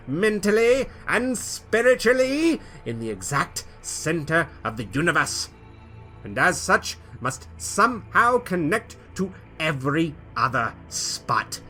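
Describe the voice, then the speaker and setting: A man giving a dramatic first-person reading as his character, swinging between loud emphatic peaks and quiet low endings. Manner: theatrical, rising to loud emphatic peaks then dropping low. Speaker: player reading character backstory.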